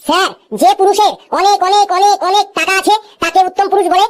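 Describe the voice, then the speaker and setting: A young cartoon pupil with an extremely high, squeaky voice. The child rattles off answers quickly, confident and cheeky. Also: female voice, steady tone. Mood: confident reciting. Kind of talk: cartoon comedy dialogue.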